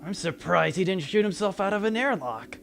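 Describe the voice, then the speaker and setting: A man's voice: high-pitched, lively intonation, fairly quick, gravelly. A man playing an earnest, grandiose and dryly comic space commander in a sci-fi comedy audio drama. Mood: grave, dry.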